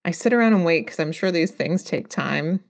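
A woman's voice: fairly low pitch, lively intonation, moderate pace. A woman playing a tabletop roleplaying game, chatting and improvising in a playful, mock-grand way. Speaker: player in actual play podcast.

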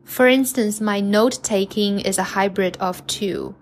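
Woman, measured and reflective. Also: medium pitch, a little hiss or hum. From China. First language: Chinese. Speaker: guest writer.

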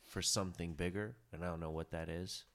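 A man hosting a podcast, chatting in a laid-back, musing way on a studio mic and trailing off into low mutters. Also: fairly even intonation, brisk, a bit bright. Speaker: podcast host. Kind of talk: casual podcast conversation.

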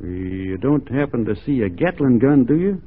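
An actor playing an easygoing, plain-spoken Texan cowboy in a radio drama, with a folksy, unhurried manner. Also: male voice, mid-range voice, very animated, breathy edge, phone-quality sound. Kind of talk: 1940s radio drama acting. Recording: thin band-limited 1940s radio recording.

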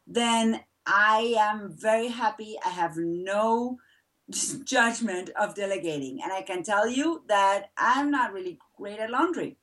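A woman's voice: medium pitch, fairly bright tone. Chatty Argentine-born mother, distant mic. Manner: chatty, laughs often, many fillers. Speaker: guest. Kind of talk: casual interview chat.

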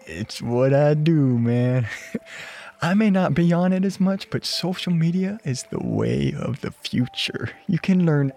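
A man's voice: on the high side, expressive, measured pace, vocal fry, mellow. A man with an American accent playing an easygoing, eager, friendly old classmate, talking in slangy bro style in an audio drama. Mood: chummy, upbeat. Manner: laid-back slangy bro talk. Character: easygoing, eager, friendly male former high school classmate. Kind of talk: casual, naturalistic audio drama acting.

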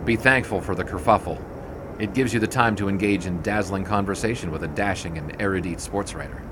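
Man as flirtatious sports writer, 1920s radio drama, florid banter, lowish pitch, noisy outdoor backdrop. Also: fairly quick, lightly acted. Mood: playful, suave. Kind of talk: period audio drama acting. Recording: clear outdoor street and car noise.

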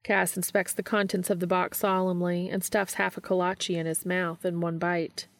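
A woman's voice: fairly low pitch, flat delivery, normal speed, no echo. A woman narrating a fiction audiobook from a script.

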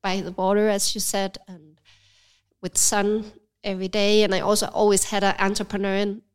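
A woman with a Danish accent speaks in a smooth, clear voice, a little stuffy from a cold. She talks thoughtfully in a relaxed interview, often hesitating with small fillers as she searches for words. Her pitch sits in an ordinary middle range. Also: moderate pace. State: has a cold, slightly stuffy. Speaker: cafe and yoga studio owner, guest. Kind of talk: casual interview conversation.

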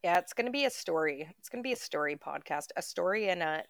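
A woman's voice: lowish voice, expressive, fast, vocal fry, no room sound, studio quality. A playful woman co-hosting a podcast, laughing often. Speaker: podcast co-host. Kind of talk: casual chat.

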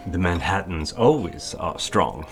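A man's voice: low-pitched, lively intonation, a little slow, faint music behind. A man playing a formal, courteous waiter, stiff and deferential, in a period audio drama.

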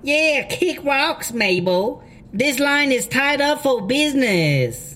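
A woman's voice: high voice, big pitch swings, takes it slowly, fairly bright tone, heavily acted. An actress playing a pushy, sassy female prison hustler with foul-mouthed sales patter in a comedy audio drama.

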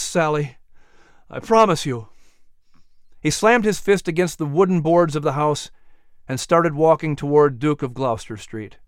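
A male audiobook narrator with a fairly high, smooth voice. He reads a dramatic historical story for children in a scripted style. The recording is very dry and close. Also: no room sound.

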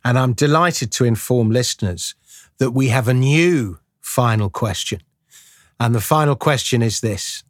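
A man hosting a podcast interview, joking and wrapping up the show smoothly. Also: mid-range voice, measured pace, dry recording, clean studio sound. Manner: jokey, polished, wraps up smoothly. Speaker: podcast host.

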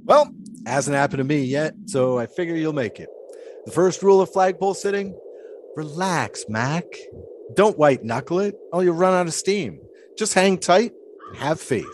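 Man playing oddball 1920s stuntman philosopher, pitch swings all over, teasing n cryptic, audio drama with ambience behind. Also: lively intonation, moderate pace, slight background noise, natural voice. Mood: teasing, serene. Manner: cryptic, teasing, ornate phrasing. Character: eccentric, philosophical 1920s flagpole-sitting daredevil, serenely cryptic.

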